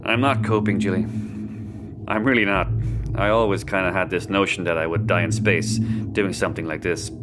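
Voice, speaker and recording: Irish male spacefarer played by an actor, lowish voice, world-weary and plain-spoken, sci-fi audio drama, music underneath. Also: steady tone, moderate pace, lightly acted. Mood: sombre, confessional.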